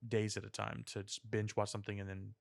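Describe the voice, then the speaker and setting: A man's voice: fairly low pitch, completely flat delivery, fast, vocal fry, dry recording, studio quality. A man co-hosting a podcast, chatting casually about pop culture with wry joking. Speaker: podcast co-host.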